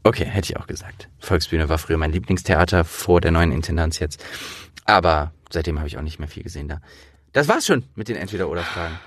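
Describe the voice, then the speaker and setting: Low-voiced man, close and dry. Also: quick pace, dry recording. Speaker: interviewer and host. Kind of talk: casual, chatty interview.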